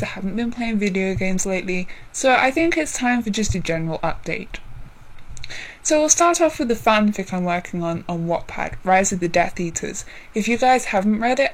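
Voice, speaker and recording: Rambling woman vlogger with a lowered voice. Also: low-quality recording. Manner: rambling, many ums and apologies; deliberately lowered voice. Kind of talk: casual chat update.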